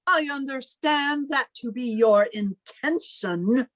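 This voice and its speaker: A woman's voice: high voice, takes it slowly, no room sound, clean studio sound. A woman playing a stern, controlling older sister in an old-time radio melodrama, speaking formally with a clipped, menacing manner. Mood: cold, controlling. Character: stern, controlling, lonely older sister guarding her family's money.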